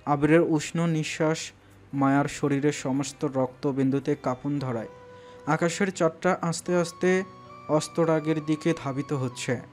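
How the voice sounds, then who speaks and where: A man's voice: fairly high pitch, steady tone, normal speed, a little mellow. A man narrating a romantic audio story in a dramatic, scripted style. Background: background music.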